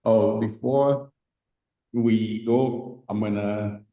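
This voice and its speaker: A man hosting an interview, reading his introduction and asking questions in a measured way. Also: lowish voice, fairly even intonation, dark tone, reverberant, dull audio. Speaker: host.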